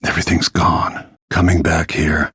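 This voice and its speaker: An actor playing a grim, vengeful middle-aged assassin in a video game, brooding in a gravelly, husky voice with low-key menace. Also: male voice, flat delivery, a little slow, pushed and bright, no echo, clean studio sound, full character voice. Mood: brooding, resolute. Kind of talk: dramatic game voice lines, brooding inner monologue.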